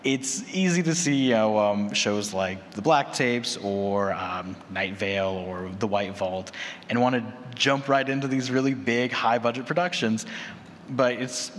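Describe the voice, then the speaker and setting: A man's voice: medium pitch, a little slow. A man leading a conference presentation, explaining point by point and checking in with "right?" as he goes. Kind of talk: semi-prepared conference presentation. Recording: reverberant hall, clear background noise.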